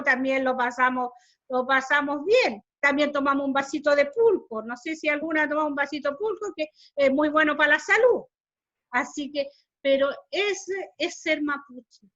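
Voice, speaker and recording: A woman with a Chilean Spanish accent speaks to a class over a video call. Her voice sits high, and she talks earnestly and with feeling, circling back over her own words. The sound is dull and lo-fi. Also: a bit bright. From southern Chile. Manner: earnest, emotional, repeats phrases. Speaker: Mapuche community speaker. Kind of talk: heartfelt talk to a class.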